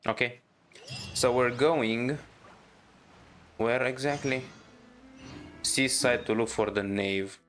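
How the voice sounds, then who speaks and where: A young male gamer with a mid-pitched voice reacts casually to a game. He speaks informally, tripping over and repeating words, with game music faintly behind him. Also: takes it slowly, pauses often, a bit bright. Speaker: gamer, streamer.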